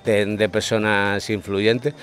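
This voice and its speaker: A middle-aged kiosk vendor giving terse, deadpan answers to a street interviewer. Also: male voice, on the low side, takes it slowly, loud music behind the voice. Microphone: handheld furry mic.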